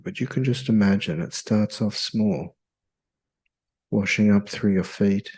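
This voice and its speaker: A man leading a guided meditation in a soothing, hypnotic, measured way with long pauses. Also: deep voice, a little mellow, husky.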